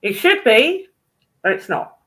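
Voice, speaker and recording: A woman's voice: fairly high pitch, big pitch swings, measured pace, a bit bright. A woman in casual discussion, speaking in a blunt, opinionated way.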